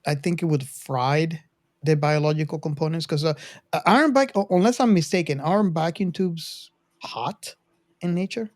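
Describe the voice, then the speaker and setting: A man chatting casually on a discussion show, often halting and repeating his phrases. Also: fairly high pitch, no echo. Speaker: podcast host or panelist. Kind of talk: casual chat.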